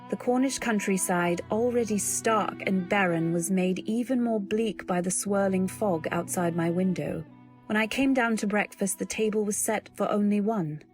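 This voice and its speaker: A woman playing a timid, earnest young wife who tells her tale in an old-time radio drama, gentle and polite. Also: mid-range voice, close to an everyday voice. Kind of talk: old-time radio drama, first-person narration and dialogue.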